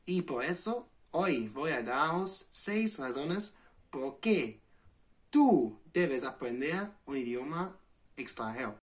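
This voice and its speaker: Slow-paced English man teaching in Spanish. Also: a little high, animated. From England. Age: late 20s. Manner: unhurried, pausing and restarting with fillers. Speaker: language channel host. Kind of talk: relaxed spoken lesson to camera.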